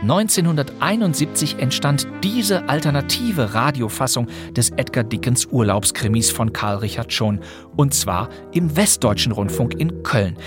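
A man's voice: medium pitch, animated, normal speed, over music. A man hosting a podcast, presenting classic radio plays from a script in a chatty, wry way. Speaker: podcast host.

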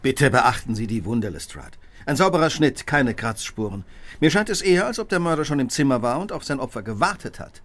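An actor playing a brilliant, coolly analytical detective in a German radio play, speaking precisely and with authority. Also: male voice, medium pitch, lively intonation, a little fast, a bit bright, lightly acted.

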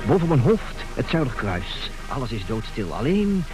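An actor playing a boastful, penniless adventurer and suitor in a radio play, with a grandiose, blustering manner. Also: male voice, medium pitch, very animated, normal speed, over music, natural voice, low-quality recording. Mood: courting. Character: boastful, penniless adventurer and fortune-seeking suitor, melodramatic man.

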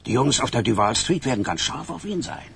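Swooping, sardonic old dandy gangster. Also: male voice, medium pitch, very animated, fairly quick, vocal fry, fairly bright tone, breathy edge, exaggerated voice. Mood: sardonic, teasing. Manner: mocking, teasing, flamboyant. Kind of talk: radio crime drama acting.

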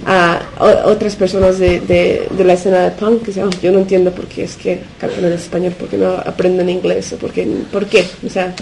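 Creaky-voiced woman, halting and mellow. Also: on the low side, flat delivery, a little hiss or hum, low-quality recording. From United States. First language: Spanish. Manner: halting, searching for words, slips into English fillers. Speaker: interview guest from a punk collective. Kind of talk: unscripted radio discussion.

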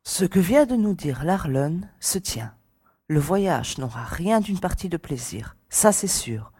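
A woman playing a level-headed leader of space fugitives in a science-fiction audio drama, with a husky voice. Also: lowish voice, very animated, slow pace, mellow, no echo, studio quality, full character voice. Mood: sober, reasoning. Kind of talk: French amateur sci-fi audio drama acting.

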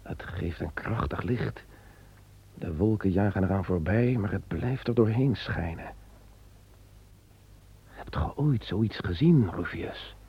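An actor playing a solemn, commanding Roman emperor in an old radio play. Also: male voice, low voice, expressive, slow, vocal fry, long pauses, breathy edge, lo-fi sound, heavily acted. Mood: awed. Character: Roman emperor seeing a sign in the sky. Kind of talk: radio drama acting.

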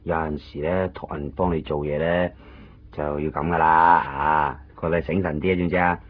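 An actor playing a gruff, worldly fixer who looks after a friend in a radio drama. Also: male voice, a bass voice, steady tone, moderate pace. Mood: brisk, instructing. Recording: dull 1990s radio recording, faint noise.

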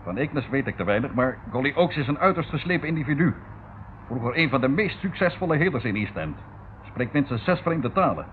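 An actor playing a knowing, measured and gently condescending informant in an old radio drama. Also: male voice, mid-range voice, very animated, close to an everyday voice. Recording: muffled narrow-band old radio recording, faint noise.

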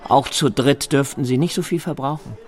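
An actor playing an insistent, menacing snoop with a grudge, in a naturalistic radio drama, formally polite and full of pointed questions. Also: male voice, medium pitch, moderate pace, lightly acted. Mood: needling, falsely polite. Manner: formal address, pointed rhetorical questions, needling. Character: insistent, menacing snooping man with a grudge against big shots.

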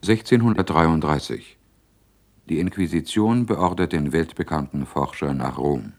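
A radio play chronicler. The voice is extremely low, delivering scripted historical narration in a sober, factual way. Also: male voice, a little slow, darkish tone, exaggerated voice.

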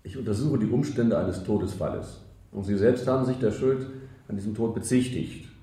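A sober police interrogator in a radio play, with a low, dark voice. He is firm, dry and insistent on facts, heard in an echoey room. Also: expressive, normal speed. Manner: matter-of-fact, firm, dry. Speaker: investigator taking a statement. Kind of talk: radio drama acting.

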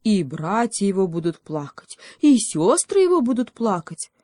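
A woman's voice: medium pitch, big pitch swings, moderate pace, dark tone, dry recording, studio quality, pitched above the performer's own voice, close to an everyday voice. A woman voicing a young male beaver cub in a children's story, lamenting with pretend pity. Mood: mock sorrow.

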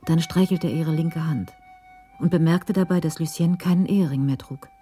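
A woman narrates a scripted radio crime drama. Her voice sits a little low and sounds soft and dark, with quiet music underneath. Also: normal speed, breathy edge.

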